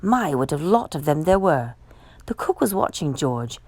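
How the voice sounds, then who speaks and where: Expressive woman reading a children's story, swooping intonation. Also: low-pitched, dry recording. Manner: expressive, measured storytelling delivery. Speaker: storytime reader. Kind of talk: children's picture book read-aloud.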